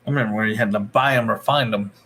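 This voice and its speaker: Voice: medium pitch, racing, fairly bright tone, breathy edge. A livestream host chatting casually, rambling along in short offhand remarks and reactions.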